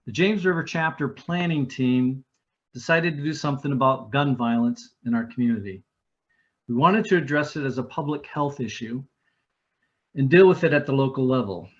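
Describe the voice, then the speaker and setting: A man, a chapter organizer, reads a measured, formal introduction of a guest speaker. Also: medium pitch, fairly bright tone, dull audio. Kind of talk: reading a prepared introduction.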